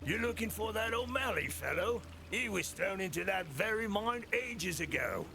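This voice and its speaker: A man playing an odd local informant with a creaky voice in a video game. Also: sits high, animated, normal speed, very noisy. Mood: matter-of-fact. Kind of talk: video game cutscene acting.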